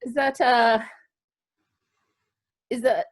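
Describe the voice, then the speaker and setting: An Australian woman hosting a live online show in a casual, halting, playful and chatty way. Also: on the high side, steady tone.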